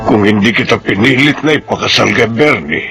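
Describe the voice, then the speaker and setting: A man's voice: fairly low pitch, animated, fairly quick, a bit bright, soft background music. A man playing a protective, concerned elderly grandfather in a Filipino radio drama, grave and solicitous. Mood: remorseful.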